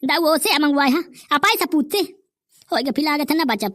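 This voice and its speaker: A woman voicing a brash, quick-tempered village character in a comedy cartoon, with a Bundeli accent. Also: high voice, lively intonation, a bit bright, dry recording, studio quality.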